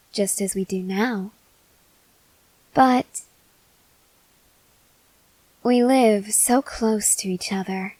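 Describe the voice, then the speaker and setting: A woman playing a possessive, softly menacing female vampire lover in an intimate, measured ASMR roleplay that slips into breathy whispers. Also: medium pitch, no room sound, low-quality recording. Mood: controlled, wistful. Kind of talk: ASMR roleplay acting, addressed to the listener.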